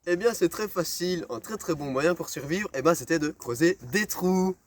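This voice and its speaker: Young man lecturing crudely, fairly high voice. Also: animated, moderate pace. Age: teens or young adult. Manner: mock-pedagogical, crude, swearing. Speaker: explainer in a school history sketch. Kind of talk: mock-educational comedy. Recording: homemade video with faint noise.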